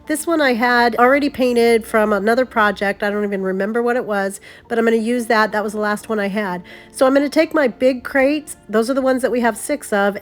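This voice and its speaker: A middle-aged woman with a stuffy cold, chatting upbeat through a do-it-yourself craft tutorial. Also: fairly high pitch. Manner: chatty and upbeat, exclaims over gifts. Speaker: craft vlogger. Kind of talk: casual DIY tutorial narration.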